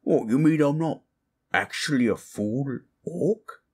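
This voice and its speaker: A man voicing a dim, good-natured orc chieftain in a fantasy audio roleplay, with a husky voice and a blustery, incredulous manner. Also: mid-range voice, lively intonation, voice pushed up. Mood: baffled, amazed. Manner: slow-witted, blustery, incredulous.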